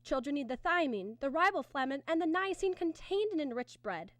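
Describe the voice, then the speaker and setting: High-voiced woman reading a vintage radio commercial. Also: animated, fairly quick, a bit bright, dry recording. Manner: earnest, emphatic sales pitch. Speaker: radio sponsor announcer. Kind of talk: scripted 1950s radio advertisement.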